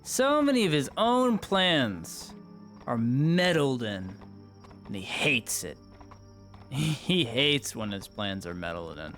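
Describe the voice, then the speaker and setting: A man's voice: mid-range voice, sing-song, slow, fairly bright tone, faint music behind. A man streaming, giving casual, wry out-of-character commentary on his roleplay. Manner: amused, wry asides.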